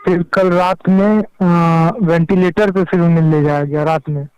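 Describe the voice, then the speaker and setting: Male phone interviewee, Bihari accent, high clear voice, plain chronological telling, dry muffled call audio. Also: fairly even intonation, moderate pace, darkish tone, dry recording. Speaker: personal assistant to a politician, interviewee. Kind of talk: recounting events on a phone call. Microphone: telephone.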